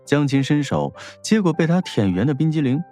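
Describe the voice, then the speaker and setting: A man's voice: a little high, big pitch swings, a little fast, mellow, faint music behind. A man narrating a scripted audiobook story in a wry storytelling manner.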